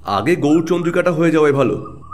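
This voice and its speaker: An actor playing a composed, shrewd private detective in an audio drama, speaking in a measured, probing way. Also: male voice, fairly high pitch, animated, soft background music, close to an everyday voice. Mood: inquisitive. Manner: measured, probing, terse questions. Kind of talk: Bengali audio story acting.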